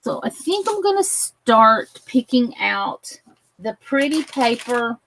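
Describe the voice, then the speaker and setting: A woman hosting a craft livestream in a chatty, giggly way, greeting viewers, her voice a little croaky. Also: a little high, very animated, slow, a bit bright, a little hiss or hum, poor audio. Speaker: crafting channel host. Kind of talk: casual craft tutorial livestream.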